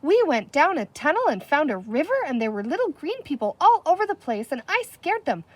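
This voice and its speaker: A woman reading a children's storybook, voicing an excitable, boisterous little girl with a breathless, exclaiming manner. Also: high-pitched, a little fast, no echo, voice pushed up. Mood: thrilled, breathless.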